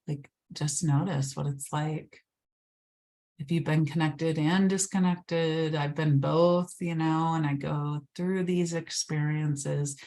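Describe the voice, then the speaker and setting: Low, gravelly woman guiding meditation. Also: a little mellow. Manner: slow, soothing, drifts into whispers. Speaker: meditation guide. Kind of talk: guided meditation.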